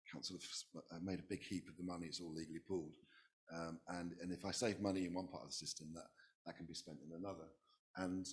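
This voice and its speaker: A man on a conference panel, recovering from a virus, speaking in a halting way and often repeating words. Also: sits low, a little hiss or hum. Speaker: public health specialist on a panel.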